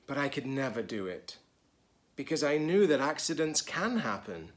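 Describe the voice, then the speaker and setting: A man voicing a wistful, anxious storybook egg who recounts his fall in an earnest, deliberate way. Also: mid-range voice, sing-song, pitched above the performer's own voice, natural voice. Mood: wistful, determined.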